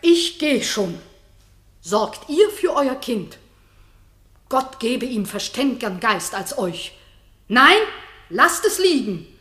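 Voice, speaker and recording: Bold noblewoman, slow and declamatory. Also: fairly high pitch, very animated, fairly bright tone, reverberant, heavily acted. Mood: defiant, firm. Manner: declamatory, defiant, deliberate. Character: bold, outspoken noblewoman, loyal and righteous defender. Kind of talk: classic German radio drama stage acting.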